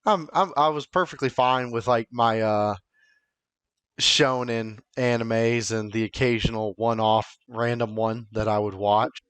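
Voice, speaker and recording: A man co-hosting a podcast in casual chat with friends, often halting as he thinks out loud. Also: mid-range voice, steady tone, clear, resonant tone, no room sound. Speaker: podcast co-host.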